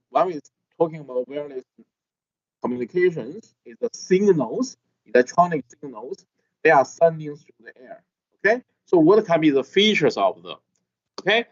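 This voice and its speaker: A middle-aged man lecturing a class, with a halting manner and constant "okay?" checks. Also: fairly high pitch. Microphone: video call. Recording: dull lo-fi video call audio.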